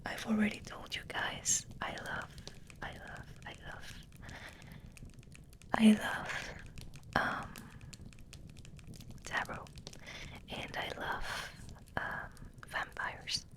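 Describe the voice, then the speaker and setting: Woman whispering asmr, really slow n halting, repeats words softly, close on the mic. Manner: unhurried, halting, gentle repetition of words. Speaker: host of an ASMR channel. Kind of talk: soft whispered ASMR ramble. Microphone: close microphone resting on the table.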